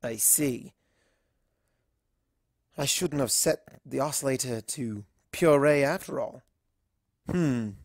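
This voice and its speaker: A man's voice: medium pitch, slow pace, long pauses, dry recording, studio quality. A man voicing an eccentric, dramatic male pony doctor in a storybook reading, with an earnest theatrical manner. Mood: puzzled, deflated. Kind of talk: storybook character dialogue.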